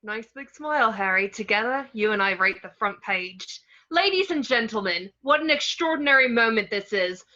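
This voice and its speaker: A woman's voice: fairly high pitch, animated, normal speed, hardly any pauses, bright, forceful tone, lo-fi sound. A woman playing a vain male celebrity wizard author, a grandiose showman, in a script table read. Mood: smug showmanship. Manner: grandiose, self-promoting showman.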